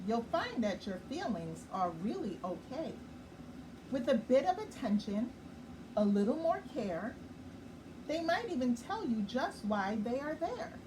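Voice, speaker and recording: Woman reading a bedtime picture book, slow and gentle, distant mic with steady background noise. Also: a little high. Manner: slow, gentle, explains words for children. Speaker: children's story host.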